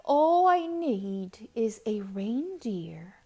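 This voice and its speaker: Woman as a sour male storybook creature, scheming, sneering, breathy snarl, very slow delivery. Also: fairly high pitch, big pitch swings, warm tone, exaggerated voice. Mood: gleeful, sly.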